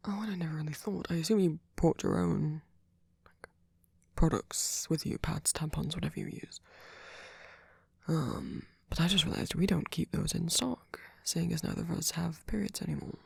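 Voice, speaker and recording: A sweet, doting vampire boyfriend, acted out by a performer, speaks in a very breathy half-whisper. He is gentle and attentive, halting and rambling softly through an ASMR comfort roleplay. The sound is close and dry, with a creaky edge. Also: medium pitch, no echo, exaggerated voice. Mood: tender, reassuring. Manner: hesitant, halting, rambling, with soft filler murmurs.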